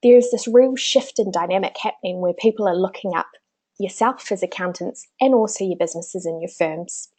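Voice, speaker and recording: A woman's voice: medium pitch, moderate pace, darkish tone. New Zealand woman presenting a webinar. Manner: chatty, with frequent "uh" fillers.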